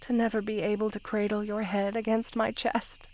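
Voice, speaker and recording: A woman's voice: medium pitch, little pitch movement, a little mellow, slight background noise, lightly acted, poor audio. A woman playing a possessive, lovesick snake queen in an intimate roleplay ASMR, speaking tenderly with sighs. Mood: vulnerable, pleading. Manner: slow, tender, sighing, possessive delivery.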